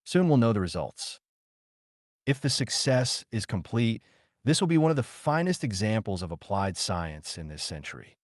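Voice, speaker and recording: An earnest, proud scientist as a male narrator reads him in an audiobook. He is formal and emphatic, with a low-leaning voice that swings expressively in pitch. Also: big pitch swings, normal speed, no echo, clean studio sound. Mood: hopeful. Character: earnest, proud French scientist, adult man.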